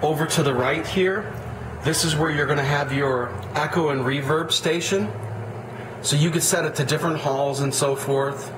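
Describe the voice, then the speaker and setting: A man's voice: on the high side, steady tone, normal speed. A man giving an unscripted, plain-spoken, step-by-step tutorial as an audio equipment installer. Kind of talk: unscripted product tutorial, walkthrough. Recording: echoey room with clear background noise.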